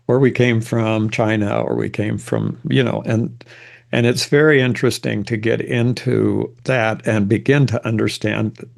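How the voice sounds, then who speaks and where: Older man with a very gravelly voice. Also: fairly low pitch. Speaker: genealogy presenter. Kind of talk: informal lecture.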